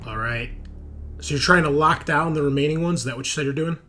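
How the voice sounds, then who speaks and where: A man's voice: fairly high pitch, fast, bright, forceful tone, slight background noise. A man running a tabletop roleplaying game, narrating and making rulings in a casual, conversational way. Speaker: game master. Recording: music playing behind.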